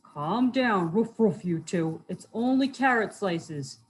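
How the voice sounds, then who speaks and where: Woman playing a loud, bossy storybook dog. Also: mid-range voice, animated, takes it slowly, a little hiss or hum, low-quality recording. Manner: barks "ruff" between phrases. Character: bossy, loud, good-natured barking dog.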